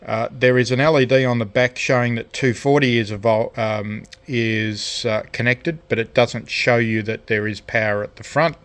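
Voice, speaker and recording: A man giving a casual, unscripted hands-on tutorial as a hobbyist, speaking hesitantly with frequent pauses. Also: mid-range voice, fairly even intonation, fairly bright tone. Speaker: hobbyist presenter of a DIY electronics video. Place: indoors at a cluttered radio workbench.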